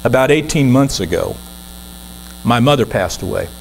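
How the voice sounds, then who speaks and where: A man's voice: mid-range voice, animated, normal speed, creaky, slight background noise, poor audio. A man in his sixties, a guest minister, preaching an earnest, conversational sermon from the pulpit of a church.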